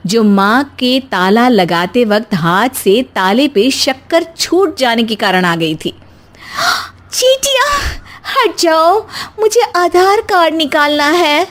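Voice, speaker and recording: A woman narrator telling a scripted storybook tale. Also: fairly high pitch.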